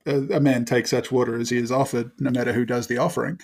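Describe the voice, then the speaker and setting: A man with a medium-pitched voice, playing a tabletop role-playing game. He talks casually and improvises as he goes, with a wry, mock-formal turn of phrase. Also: fairly even intonation, fast, gravelly. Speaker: tabletop RPG player.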